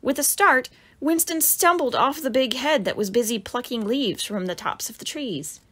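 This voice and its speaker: Animated woman reading a children's storybook. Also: high voice, moderate pace. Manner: animated, dramatic exclamations for young listeners. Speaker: author reading own picture book. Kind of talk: expressive children's storybook narration.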